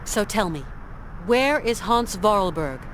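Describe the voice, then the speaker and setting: Woman playing a lively, plucky game heroine. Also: medium pitch, unhurried, slight background noise, natural voice. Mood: firm. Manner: natural, reassuring, direct. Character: plucky, level-headed adventuring heroine, woman, calm and determined. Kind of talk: video game voice acting.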